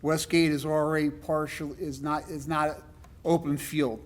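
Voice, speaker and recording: Loud, rambling man with a higher voice. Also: level tone, unhurried. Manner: rambling and emphatic, with many fillers. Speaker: resident speaking at public comment. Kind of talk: off-the-cuff public comment at a town meeting.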